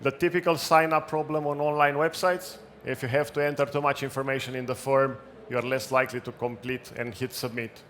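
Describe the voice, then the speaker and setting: A man with a non-native English accent speaks at a conference event in a brisk, practical way, adding light jokes. His voice bounces around an echoey room. Faint background noise runs underneath. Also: mid-range voice, flat delivery, fairly bright tone. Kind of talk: talk with audience questions. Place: event hall.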